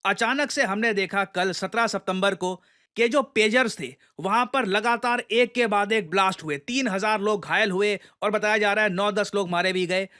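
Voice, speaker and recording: High-pitched Indian man explaining the news. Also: moderate pace, bright, forceful tone. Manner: emphatic, addresses listeners directly, mixes in English phrases. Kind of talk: explanatory news commentary to camera.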